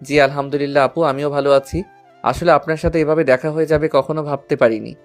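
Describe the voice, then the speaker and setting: A man's voice: on the high side, steady tone, quick pace, lightly acted. A man plays a devoted schoolboy in an audio love story. He is earnest and sentimental, with little rise and fall in his voice. Faint music plays behind him. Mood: respectful. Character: lovesick, devoted schoolboy, respectful to elders. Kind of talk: Bengali audio story acting.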